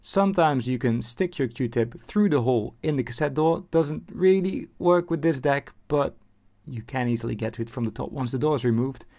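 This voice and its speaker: A man's voice: mid-range voice, moderate pace, dark tone. A man narrating a casual how-to lesson as presenter, explaining things in an informal, wry way.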